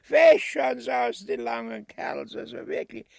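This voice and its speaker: A foppish, gullible old king in a grotesque comedy. His high, creaky voice swoops about as he stammers through pompous courtly phrases. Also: huge pitch swings, a little slow, full character voice. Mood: jovial, pompous. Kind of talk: grotesque stage comedy acting.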